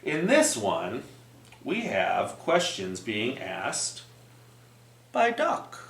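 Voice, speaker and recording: Storytime host, lively pitch, bright voice, encouraging, talking to children, echoey room. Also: drawn-out words. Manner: expressive, encouraging, addressing young children. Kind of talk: read-aloud storytelling with songs and rhymes. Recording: roomy, echoing sound.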